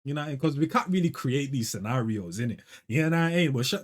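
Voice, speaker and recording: A man's voice: medium pitch, animated, fast talker. A young man, chatting casually as a music reaction host and musing aloud in heavy slang. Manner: slangy, musing, tags lines with "fam" and "bro".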